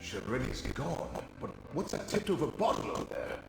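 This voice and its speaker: Echoey, urgent ghost wizard. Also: male voice, mid-range voice, huge pitch swings, moderate pace, gravelly, distant mic, very noisy, exaggerated voice. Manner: urgent, commanding. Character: urgent, wary male ghost companion wizard. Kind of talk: video game character dialogue.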